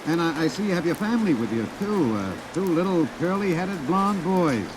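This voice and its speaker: A man playing a brisk old-time radio announcer. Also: fairly high pitch, expressive, close to an everyday voice. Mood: excited. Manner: fast showman patter, hushed and grave when solemn. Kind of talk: 1950s radio drama acting. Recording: old radio recording under loud rain noise.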